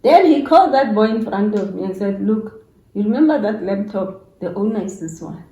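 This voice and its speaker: A woman's voice: medium pitch, lively intonation, moderate pace, warm tone, highs cut off. A middle-aged woman with a South African accent tells a story casually, acting out bits of dialogue and repeating phrases for emphasis. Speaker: YouTuber's mother telling a story as guest. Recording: roomy, echoing indoor sound.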